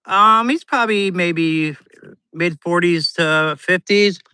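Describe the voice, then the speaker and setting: A man's voice: high voice, takes it slowly, bright, forceful tone, clear, resonant tone, no room sound, clean studio sound. A man chatting as a guest on a livestream, rambling casually and laughing often. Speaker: guest streamer.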